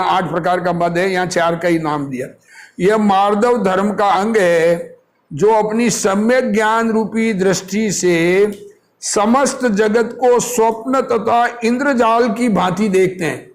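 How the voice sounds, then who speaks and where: A man's voice: sits high, measured pace. A man teaching scripture in a religious discourse, reading aloud and explaining it in a didactic, question-and-answer way. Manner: didactic, asks rhetorical questions and answers them.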